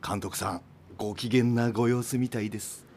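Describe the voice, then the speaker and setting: An actor playing an easygoing rural island inn worker in a Japanese radio drama, casual and folksy. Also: male voice, medium pitch, steady tone, a little fast, a little mellow, a little hiss or hum, close to an everyday voice. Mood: relaxed, chatty. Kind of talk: naturalistic radio drama dialogue.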